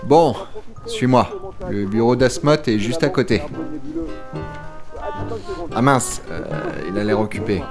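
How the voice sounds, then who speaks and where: A man plays an easygoing male colleague in an audio comedy. He sounds casual and slightly awkward, in a middle register. Music plays clearly behind him. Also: medium pitch, slow pace, pauses often. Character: easygoing, familiar male colleague guiding a newcomer around. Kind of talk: audio comedy drama acting.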